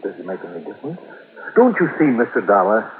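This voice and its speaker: An actor playing an earnest, alarmed doctor in an old-time American radio drama, speaking formally with urgent, halting emphasis. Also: male voice, lowish voice, big pitch swings, narrow, tinny audio, a little hiss or hum. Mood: agitated, pleading. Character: earnest, alarmed male doctor convinced of a murder plot. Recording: thin, band-limited old radio recording.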